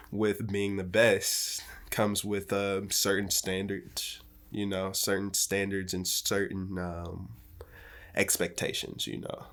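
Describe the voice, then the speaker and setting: A man's voice: on the low side, fairly bright tone. A man in his twenties chatting casually as a podcast guest, laid-back and rambling, swearing freely. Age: 20s.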